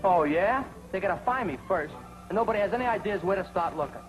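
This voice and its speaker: An actor playing a cocky, bumbling young navy pilot who brags and wisecracks, in the acting style of a 1940s training film. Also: male voice, high voice, lively intonation, fast talker, low-quality recording. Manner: wisecracking, boastful, jeering. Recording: old film soundtrack with music and hiss.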